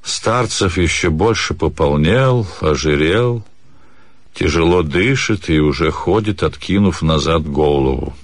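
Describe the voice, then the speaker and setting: A man reading a literary story theatrically, with expressive, measured phrasing and dramatic pauses. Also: sits low. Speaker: actor reading a short story. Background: music.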